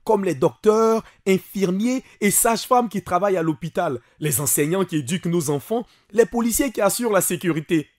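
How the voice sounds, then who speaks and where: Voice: mid-range voice, animated, a little fast, few pauses, close to an everyday voice. A performer playing a friendly, patient guide who explains things in simple everyday words in an educational cartoon. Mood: patient, explanatory. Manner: didactic, simple everyday wording. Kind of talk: animated educational film, explanatory dialogue.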